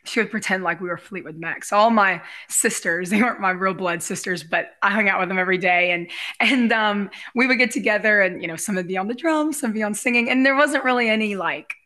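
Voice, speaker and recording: Bright, raspy, effusive woman chatting casually. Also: medium pitch, a little fast. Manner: effusive, rambling, giggly. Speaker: guest, realtor and musician. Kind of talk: casual interview chat.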